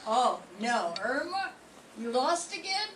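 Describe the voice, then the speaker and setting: An older woman playing a gruff, sarcastic male police sergeant in an amateur radio drama reading. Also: sits high, sing-song, exaggerated voice. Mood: brusque. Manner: brisk orders, dry sarcasm. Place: classroom. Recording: echoey room, far from mic, noise.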